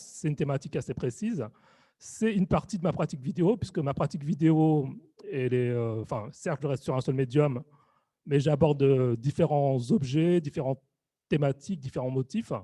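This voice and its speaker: A young man giving a halting conference talk, full of fillers and restarts. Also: on the high side, warm tone, no echo. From Réunion. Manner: hesitant, many fillers and restarts. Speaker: video artist giving a lecture.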